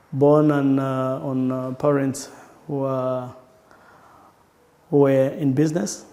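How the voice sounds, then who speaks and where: A man with a Rwandan accent recalling his family history in an interview, speaking haltingly and often restarting his sentences. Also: medium pitch, dark tone, long reverb tail. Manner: halting, searching for words with frequent restarts. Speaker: entrepreneur. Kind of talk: personal recollection in an interview.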